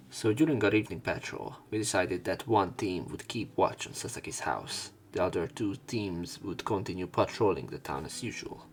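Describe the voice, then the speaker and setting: A man reading visual novel text aloud as a let's play narrator, sometimes stumbling and rereading lines. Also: deep voice.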